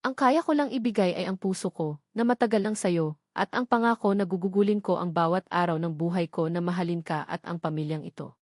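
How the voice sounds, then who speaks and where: A woman's voice: fairly low pitch, a little fast, no room sound, studio quality. Woman voicing an earnest, devoted male doctor. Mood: earnest, loving. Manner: earnest, tender, solemn.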